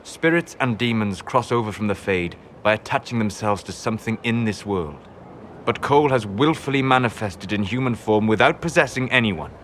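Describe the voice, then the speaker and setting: An actor playing a calm, scholarly male mage in a video game, speaking in a measured, precise, formal way. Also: lowish voice, a little hiss or hum. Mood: earnest, intrigued. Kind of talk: dramatic video game acting.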